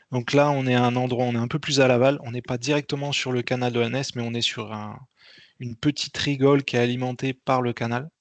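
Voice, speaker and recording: Monotone man giving a halting briefing. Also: mid-range voice, no echo. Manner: hesitant, halting delivery with frequent repeats. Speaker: event organizer giving a briefing. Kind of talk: informal meeting presentation.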